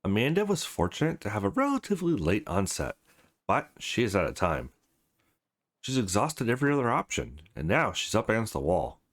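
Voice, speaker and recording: A man hosting a let's play, reading game script aloud in an expressive, sing-song way and adding brief reactions. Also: on the low side, a little fast. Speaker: let's play host reading visual novel dialogue aloud.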